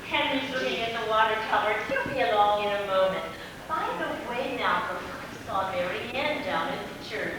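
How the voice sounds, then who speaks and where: A woman's voice: a little high, expressive, moderate pace, close to an everyday voice. A woman acting on stage as an affected, condescending society lady, arch and theatrical, heard from far off in an echoey hall. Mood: gushing. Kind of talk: amateur stage play acting. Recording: echoey hall, far from mic, faint noise.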